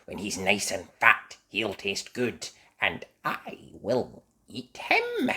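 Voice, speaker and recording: A storyteller voicing a nasty, sly old witch in a children's story, hungry and gloating, with a husky drawl. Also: low voice, wildly swooping pitch, takes it slowly, sharp tone, voice pushed down, full character voice.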